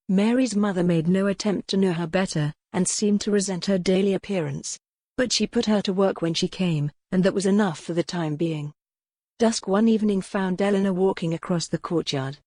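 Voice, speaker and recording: A woman reading scripted historical romance as an audiobook narrator, measured and articulate. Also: medium pitch, darkish tone, dry recording, studio quality.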